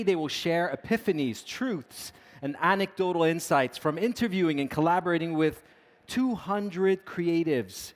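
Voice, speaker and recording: A man moderates an event and introduces the next speakers from the stage. He speaks slowly and with care, in a slightly raised pitch, and now and then corrects himself.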